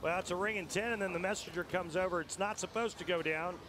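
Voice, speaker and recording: A man's voice: sits high, fast. A man explaining bowling technique at length as a color commentator. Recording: TV broadcast with arena noise behind.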